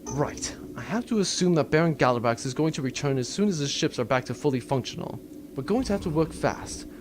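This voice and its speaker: A man's voice: fairly high pitch, lively intonation, a little fast, slight background noise, lightly acted. A man playing a casual, flippant starship captain in a comic audio drama, speaking in a conversational way. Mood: brisk, commanding. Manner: flippant, conversational, hesitant at times.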